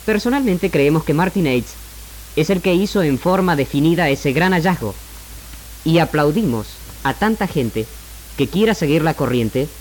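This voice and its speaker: A man with an Argentine accent delivers a scripted radio monologue in a measured, formal, rhetorical manner as the host. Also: on the high side, expressive, a little hiss or hum, rough recording. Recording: 1969 AM radio archive, music bed. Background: music.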